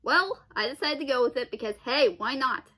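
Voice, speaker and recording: A young woman hosting a casual, unscripted commentary, halting as she repeats and rephrases, with exclamatory reactions. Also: sits high, animated, sharp tone. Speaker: online video host.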